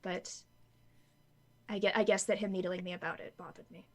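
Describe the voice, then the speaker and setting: A woman playing a troubled female soldier at a tabletop game, speaking haltingly and restarting as she hunts for words. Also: fairly low pitch, fairly even intonation. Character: doubting woman soldier, earnest and unsure. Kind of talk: in-character tabletop roleplay.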